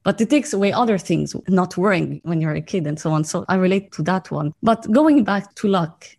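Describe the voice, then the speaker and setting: Lowish-voiced woman hosting an interview. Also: animated, brisk, vocal fry, darkish tone. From Lebanon. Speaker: podcast host, interviewer. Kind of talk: conversational interview.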